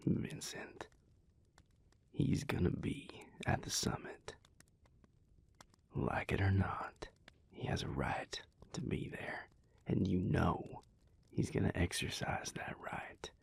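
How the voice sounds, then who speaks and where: A man voicing an easygoing cowboy vampire in an audio roleplay, blunt and steady, talking close to the mic. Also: low-pitched, slow, creaky, whispered, full character voice. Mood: frank. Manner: laid-back, frank, calming.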